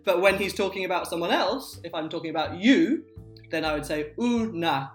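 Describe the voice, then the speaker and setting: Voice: medium pitch, normal speed. A patient linguist walks through ideas using example after example, leaning on "um" and "so". The voice sits in a roomy, echoing space, with faint music underneath. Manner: patient, uses examples, frequent "um" and "so". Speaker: linguist guest. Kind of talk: explanatory interview talk. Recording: roomy echo.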